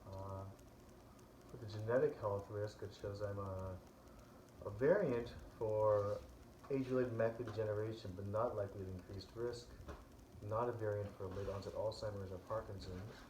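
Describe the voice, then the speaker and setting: A man's voice: lowish voice, steady tone, normal speed, a little mellow, echoey room, slight background noise, poor audio. A man co-hosting a casual chat, easygoing, reading items aloud with some uhs. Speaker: co-host.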